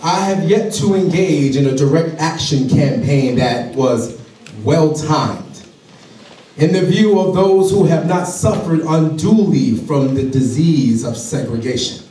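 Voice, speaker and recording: Heard from far back in a very echoey hall, a man reads aloud to a seated audience. His voice is high and mellow, and he delivers the text like an orator, with deliberate phrasing and pauses. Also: lively intonation, a little hiss or hum. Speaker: public reader at a community program. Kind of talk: dramatic public reading of a famous letter aloud. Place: large indoor hall, on stage before a seated audience. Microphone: stage microphone through speakers, recorded by far camera in audience.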